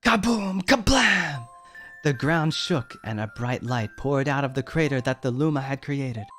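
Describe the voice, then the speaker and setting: Man reading a gentle bedtime story. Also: medium pitch, lively intonation, unhurried. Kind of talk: storybook narration. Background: background music.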